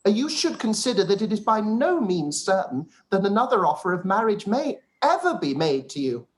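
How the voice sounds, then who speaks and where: A man's voice: high-pitched, big pitch swings, moderate pace. An actor playing a pompous, self-satisfied young clergyman, long-winded and formal, in a period radio play. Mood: insistent, affronted. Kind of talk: period drama live-read radio play.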